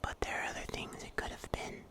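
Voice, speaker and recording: A man playing a wry, protective huntsman in a breathy, whispered ASMR roleplay. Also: lightly acted. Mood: ominous, wry. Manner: slow, hushed, gently teasing. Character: mysterious huntsman in dark woods, wry and protective.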